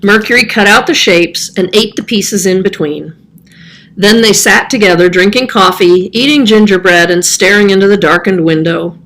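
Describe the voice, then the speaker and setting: A woman's voice: mid-range voice, moderate pace, a bit bright, poor audio. A woman reading a children's story aloud as scripted narration. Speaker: storybook reader.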